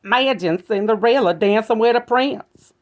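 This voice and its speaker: A man's voice: sits high, normal speed, pitched above the performer's own voice, lightly acted. A man voicing a mocking, spiteful young stepsister in a children's storybook.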